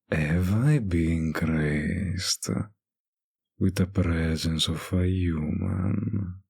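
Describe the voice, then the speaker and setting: An ancient male vampire tending bar, played by a man in an intimate audio roleplay. His voice is low and husky, and he drawls extremely slowly. He teases the listener with a smug, predatory charm. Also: warm tone, full character voice. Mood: intrigued, teasing. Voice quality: breathy, gravelly low voice. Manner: slow, teasing drawl with long pauses. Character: centuries-old male vampire bartender, teasing, predatory, smugly charming.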